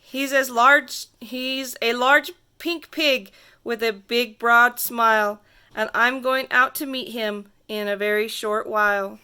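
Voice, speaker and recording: A woman reading a rhyming picture book aloud to children in a theatrical, sing-song way with drawn-out emphasis. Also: a little high, fairly even intonation, sharp tone, clear, resonant tone. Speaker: storybook reader.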